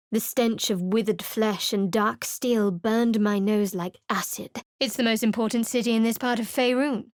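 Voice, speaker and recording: A woman's voice: a little high, dry recording, lightly acted. A woman gives voice to a secretive young cleric in a fantasy game, clipped and sardonic, keeping everyone at arm's length. Her voice sits a little above the usual, and the studio sound is close and completely dry. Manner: dry, wry and curt, quietly secretive. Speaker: game character.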